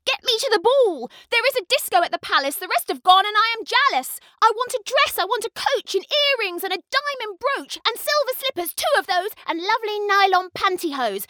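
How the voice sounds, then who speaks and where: A woman voicing a spoiled, demanding young woman who shrieks and shouts her demands. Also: very high-pitched, lively intonation, fairly quick, hardly any pauses, dry recording, clean studio sound, pitched above the performer's own voice, full character voice.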